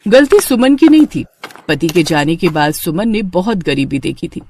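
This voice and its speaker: Lively female storyteller. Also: lowish voice, normal speed, slight background noise. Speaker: narrator. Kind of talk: scripted children's story narration.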